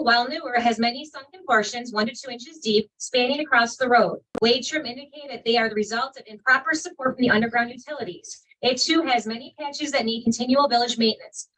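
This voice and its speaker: Woman, council member, reading letters at a meeting, bright tone, off-mic. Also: fairly high pitch. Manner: loud, clear reading voice. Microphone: distant from the microphone. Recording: speaker far from the mic.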